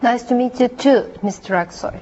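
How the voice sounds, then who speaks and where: A woman with a high voice works through short practice phrases in a classroom role play. She speaks carefully, one line at a time. The recording is dull and lo-fi. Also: moderate pace, warm tone, slight background noise. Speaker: language student.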